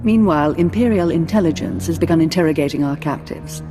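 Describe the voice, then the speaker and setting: Woman acting an imperious, seductive dark-side ruler. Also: low-pitched, fast, close to an everyday voice. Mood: haughty, sultry. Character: imperious, seductive female Sith lord and governor. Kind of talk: dramatic video game dialogue. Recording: long reverb, music behind.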